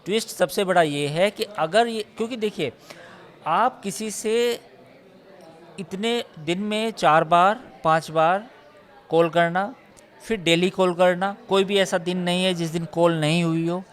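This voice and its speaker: A male reporter talks through a case in a live news discussion. His voice sits a little high. He is emphatic, restating points and reeling off figures as he explains. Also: unhurried.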